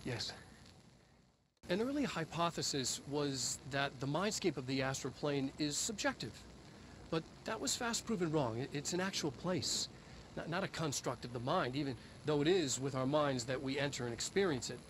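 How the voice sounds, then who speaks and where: Eccentric scientist, halting and higher-pitched. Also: male voice, lively intonation, a bit bright, slight background noise, poor audio. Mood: earnest lecturing. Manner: halting, deliberate, lecturing. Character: eccentric middle-aged male head scientist, earnest and secretive. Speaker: head of research. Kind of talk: in-world instructional video presentation.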